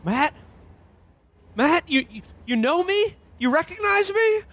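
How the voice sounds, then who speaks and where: A man's voice: extremely high pitch, sing-song, takes it slowly, fairly bright tone, heavily acted. A man playing a melodramatic, stammering podcaster in a comedic radio play. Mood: overjoyed. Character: distraught, melodramatic podcaster shown a world without him.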